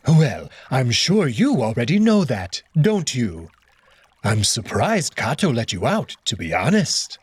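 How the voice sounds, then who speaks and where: Fussy, cowardly male cat courtier, voiced by a man; lively swooping pitch, dry close sound. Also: a little slow, slightly rough, dry recording, full character voice. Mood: haughty. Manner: panicky, whiny then haughty.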